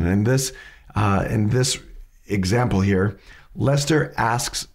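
A man hosting a lesson on asking questions, his voice raspy from hay fever, explaining step by step and often restarting his sentences. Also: fairly low pitch. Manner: methodical, restarts mid-sentence while explaining. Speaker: podcast host teaching questioning.

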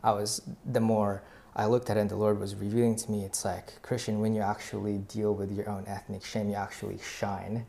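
Guest speaker: a Tagalog-native man with an earnest, halting delivery and a gravelly voice. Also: on the low side, flat delivery, warm tone. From Philippines. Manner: earnest, many fillers and restarts. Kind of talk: casual faith conversation, personal story.

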